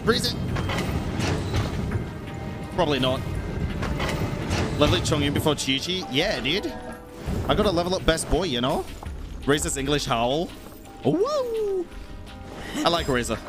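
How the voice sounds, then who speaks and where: A man in his thirties streaming a video game, with a fairly high voice. He talks in a playful, slangy, casual way to his viewers, with game music playing clearly behind him. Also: moderate pace, long pauses. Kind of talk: casual live gameplay commentary, chatting to viewers. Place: indoor room at a streaming setup. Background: game music and sound effects.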